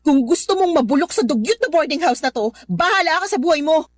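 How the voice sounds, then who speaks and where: A woman's voice: sits high, animated, fast talker, no room sound. A woman acting an ambitious, scornful young girlfriend in a Filipino radio drama, with an emotional, cutting delivery. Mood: furious, insulting. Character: ambitious, scornful young girlfriend leaving her poor boyfriend.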